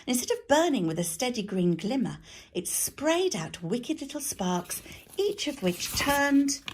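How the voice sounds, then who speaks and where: A woman reading a children's story aloud as a teacher, in an expressive narrating style. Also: fairly high pitch, measured pace, a little hiss or hum.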